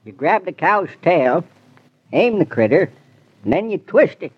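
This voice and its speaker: A high, lively old farmhand spinning homespun yarns in a rural drawl, with a husky edge. It comes through a dull 1940s radio recording. The radio play keeps him chatty and sly throughout. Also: male voice, a little slow, heavily acted. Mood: folksy. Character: folksy talkative old male farmhand.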